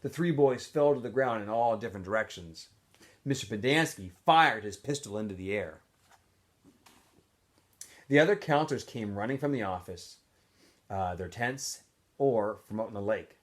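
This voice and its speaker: A man reading a story aloud in an expressive storytelling style, acting out the dialogue. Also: fairly low pitch, moderate pace, long pauses. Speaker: teacher reading a novel aloud. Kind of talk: storybook read-aloud narration.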